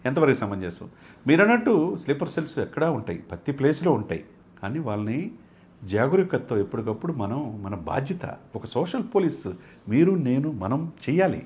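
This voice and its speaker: A man's voice: medium pitch, a little mellow. A man giving impassioned political commentary as a guest analyst, emphatic and rhetorical, repeating phrases in lists.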